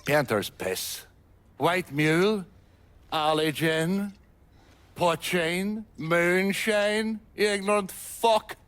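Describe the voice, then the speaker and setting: An actor playing a bitter, foul-mouthed, vengeful Irish bootlegger boss in a video game cutscene, with a sardonic, taunting manner. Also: male voice, sits high, extremely slow, a bit bright, heavily acted. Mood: scornful, vengeful.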